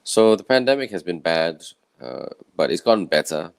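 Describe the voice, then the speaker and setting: A tired man with a croaky voice, speaking candidly on a video call and often restarting his sentences. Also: low-pitched, big pitch swings, moderate pace. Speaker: summit speaker. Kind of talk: personal talk on video call.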